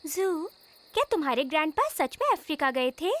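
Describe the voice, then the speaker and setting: A woman's voice: high-pitched, big pitch swings, moderate pace, a bit bright. Piping little cartoon girl. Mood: curious. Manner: childlike, questioning. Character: inquisitive young animal girl, cautious playmate. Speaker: voice actor. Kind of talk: children's cartoon dub. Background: music.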